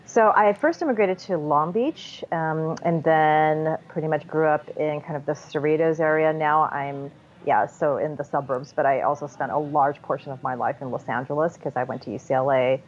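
A woman's voice: deep voice, moderate pace. An American-accented woman who grew up in Southern California, answering conversationally as an interview guest over an internet call. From born in Taiwan, grew up in Southern California. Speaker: podcast guest, sociologist. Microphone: Skype call. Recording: dull internet call audio.

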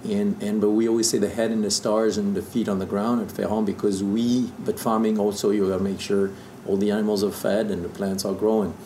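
Male, 50s, French accent, native French speaker, lower register, casual storyteller. Echoey room, some background noise. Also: level tone, a little fast, few pauses, dark tone. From southern Burgundy, France. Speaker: interview guest, distiller.

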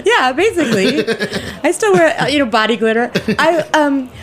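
American female panel guest, highish animated voice, wry and jokey, giggly in casual chat. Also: normal speed. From Nebraska, United States. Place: radio studio.

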